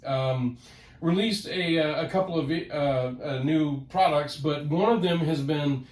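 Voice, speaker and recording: A man with a head cold, sounding nasal, hosting a casual collector vlog from his home office in an excitable, rambling way that repeats words. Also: a little high, unhurried. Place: home office, ten-foot ceiling. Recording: roomy home office, mic at a distance.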